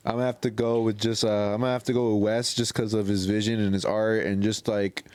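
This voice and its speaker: A man's voice: lowish voice, little pitch movement, quick pace, darkish tone, clean tone, no echo. A man co-hosting a podcast, talking casually in slang with some swearing. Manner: slangy, profane. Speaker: podcast co-host. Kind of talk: casual chat.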